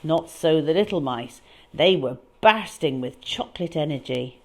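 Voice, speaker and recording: A woman reading a picture book aloud as a children's storyteller, with dramatic, deliberate delivery and emphatic stresses. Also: low-pitched, lively intonation, darkish tone.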